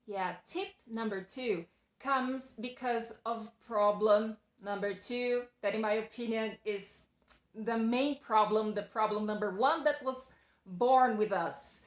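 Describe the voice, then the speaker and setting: A woman's voice: fairly high pitch, slow. Brazilian-accented woman teaching in a roomy echo. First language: Portuguese. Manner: chatty, encouraging, restarts phrases often. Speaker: English teacher. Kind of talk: casual video lesson.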